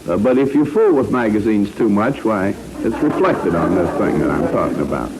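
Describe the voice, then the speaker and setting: A man's voice: fairly low pitch, animated, normal speed, a loud crowd. A man hosting a 1940s radio quiz show, hesitating and tossing in joking asides. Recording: noisy vintage radio broadcast.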